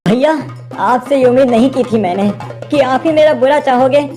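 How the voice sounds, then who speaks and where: A woman voicing a humble young male food-stall cook, earnest and plaintive, in an animated moral story. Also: sits high, moderate pace, faint music behind, close to an everyday voice. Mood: hurt, reproachful.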